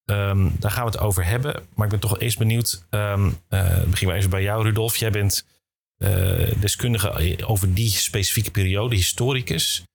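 A man's voice: fairly low pitch, steady tone, normal speed, vocal fry, dry recording. A man hosting a podcast in a friendly, informal, conversational way. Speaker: podcast host.

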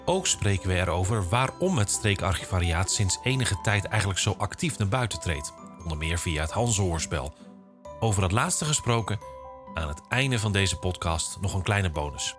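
A man with a Netherlandic Dutch accent hosting a podcast and interviewing guests, with music behind. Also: fairly low pitch. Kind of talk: scripted intro and casual interview.

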